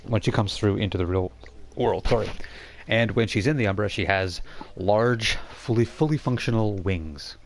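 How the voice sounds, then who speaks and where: A man with a low-pitched voice acts as game master for a tabletop game. He narrates casually and off the cuff, often halting to rephrase and explain details. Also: a little hiss or hum. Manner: halting, explanatory, self-correcting.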